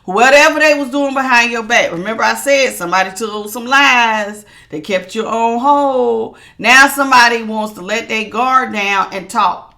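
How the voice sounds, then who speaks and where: A woman with a bright, projecting voice. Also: on the high side. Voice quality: strong, projecting voice. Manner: emphatic and authoritative, repeats phrases for stress. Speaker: tarot reader. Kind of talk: casual tarot reading to viewers.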